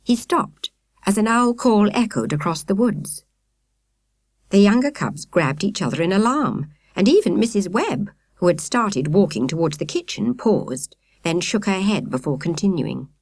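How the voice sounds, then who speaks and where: A woman narrating a children's story in expressive, carefully phrased style. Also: mid-range voice, unhurried, no echo, studio quality. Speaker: audiobook narrator.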